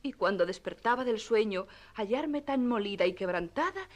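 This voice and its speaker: A woman's voice: medium pitch, animated, moderate pace, natural voice. A woman playing a sympathetic young innkeeper's daughter in a radio play, credulous and confiding. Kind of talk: radio theatre acting.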